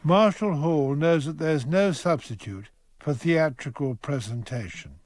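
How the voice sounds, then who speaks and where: A man narrating a radio courtroom drama from a script in a wry, measured, literate manner. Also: on the high side, darkish tone, a little hiss or hum, lightly acted.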